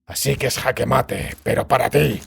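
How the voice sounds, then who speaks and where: A man voicing a cold, controlled witcher in a fantasy audiobook, speaking in a measured, deliberate way. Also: mid-range voice, steady tone, vocal fry, breathy edge, heavily acted. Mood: cold threat. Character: cold, controlled male witcher bargaining for time. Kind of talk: dramatized fantasy audiobook dialogue.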